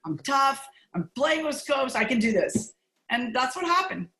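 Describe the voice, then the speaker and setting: A woman's voice: high-pitched, very animated, unhurried, fairly bright tone, reverberant. A middle-aged woman gastroenterologist who grew up in Montreal, talking candidly and with laughs in a podcast interview. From Montreal, Canada. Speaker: gastroenterologist guest.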